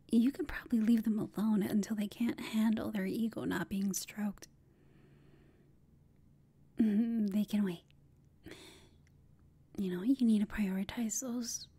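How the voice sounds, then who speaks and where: A woman performs a flirtatious villainess in an intimate ASMR roleplay. Her voice is extremely breathy and mostly whispered, with a soft, mellow tone. She takes her time, teasing and taunting her enemy with smug amusement. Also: mid-range voice. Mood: playful taunting.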